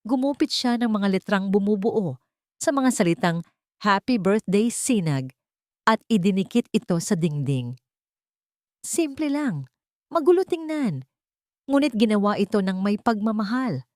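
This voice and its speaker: A woman with a Filipino accent narrating a scripted audio drama in a deliberate, dramatic way, phrase by phrase. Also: medium pitch, very animated, a little mellow, dry recording, studio quality. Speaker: storyteller.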